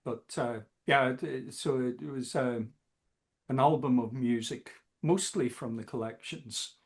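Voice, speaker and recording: A man talking as a guest musician in a casual interview, halting often with fillers. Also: medium pitch, steady tone. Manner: slow, halting, many fillers.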